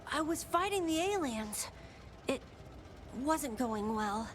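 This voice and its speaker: A woman voicing a gentle, wistful male creature in a video game, with a soft, lilting voice. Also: sits high, expressive, takes it slowly. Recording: game audio with clear background noise.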